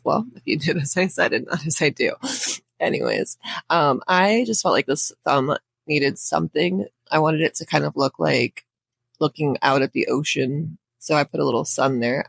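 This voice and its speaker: A woman hosts a casual how-to voice-over in a crackly, under-the-weather voice. Her pitch moves in a lively way as she rambles informally through asides. The recording is close and dry. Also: no echo. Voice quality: crackly, raspy. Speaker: nail art tutorial host.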